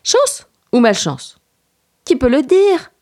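A woman voicing a serene, philosophical male bear in a storybook, musing in a measured, drawn-out way. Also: high-pitched, wildly swooping pitch, a little mellow, pitched above the performer's own voice, heavily acted.

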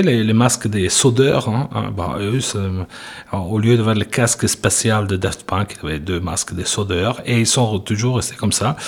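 A man from Italy with an Italian accent, introducing a track as a music show host, talking casually with halting restarts and fillers. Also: medium pitch. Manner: halting, repeats words, many fillers.

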